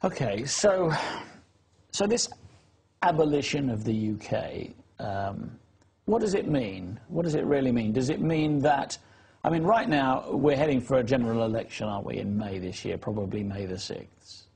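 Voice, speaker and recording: A man hosts an interview in a mid-pitched, strongly husky voice. He presses his guest with skeptical follow-ups. He often checks and challenges what he has just heard. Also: expressive, moderate pace, a little mellow. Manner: probing, skeptical follow-up questions. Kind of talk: political interview.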